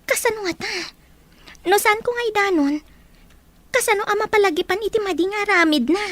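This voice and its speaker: A woman's voice: extremely high pitch, a little fast, fairly bright tone, no echo. A woman playing a concerned, caring young female student in an audio drama, with an Ilocano Filipino accent.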